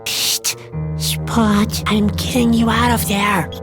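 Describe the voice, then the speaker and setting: A young escaped prisoner in an adventure game. The voice mutters wry asides, gloomy and uneasy about every next step. Music plays clearly behind it. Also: female voice, medium pitch, very animated, takes it slowly. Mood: urgent, hushed. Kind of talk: video game adventure dialogue.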